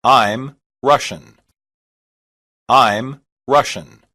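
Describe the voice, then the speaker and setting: A reader saying short English practice sentences slowly and evenly, each one twice, for a language lesson. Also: mid-range voice, pushed and bright. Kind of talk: slow repeated phrase reading.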